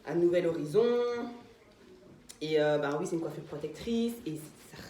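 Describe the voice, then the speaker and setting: Hairdresser from eastern Congo, low voice, lively and laughing, echoey salon. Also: female voice, normal speed, slight background noise. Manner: lively, laughs often, explains steps. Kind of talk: casual chat while working. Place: hair salon, indoors.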